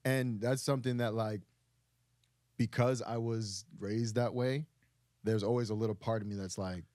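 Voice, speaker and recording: Young guy from brooklyn, low voice, candid, dry close mic. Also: fairly even intonation, normal speed, darkish tone, dry recording. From Brooklyn, United States. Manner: candid, earnest, talks through ideas with restarts. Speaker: podcast guest. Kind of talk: casual podcast conversation.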